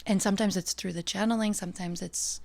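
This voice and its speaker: A woman's voice: mid-range voice, fairly even intonation, fast, vocal fry, a little mellow. A middle-aged American woman talking as a podcast guest, reflective and earnest, laughing easily. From United States. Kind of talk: casual interview talk.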